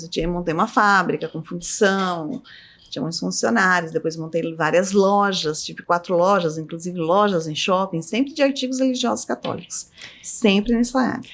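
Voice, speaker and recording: A middle-aged woman, a business owner telling stories as a podcast guest, her voice leaping up high as she laughs through anecdotes. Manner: lively storyteller, voice jumps up high in anecdotes, laughs often. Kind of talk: casual storytelling interview.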